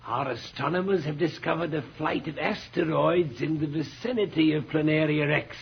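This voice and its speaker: An actor playing a cold, calculating scientist in an old radio serial, formal and deliberate, with a raspy, gravelly voice. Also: male voice, on the high side, a little hiss or hum. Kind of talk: 1950s radio serial acting. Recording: dull lo-fi old radio recording.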